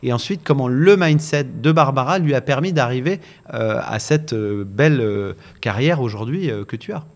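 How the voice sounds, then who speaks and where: A man hosts a podcast interview at an average pitch for a man. He asks his questions haltingly, with plenty of fillers, and keeps the talk going with brief acknowledgements. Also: lively intonation. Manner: halting, many "euh" fillers, short acknowledgements. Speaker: host.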